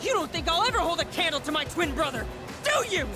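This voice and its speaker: Very high, intense young girl. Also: big pitch swings, quick pace. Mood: hurt, challenging. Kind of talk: theatrical video game voice acting. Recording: game dialogue over clear music.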